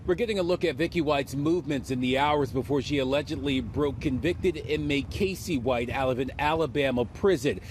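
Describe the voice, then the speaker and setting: A man's voice: medium pitch, a little fast, hardly any pauses, low-quality recording. Male news correspondent, faint background noise. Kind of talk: scripted news report narration.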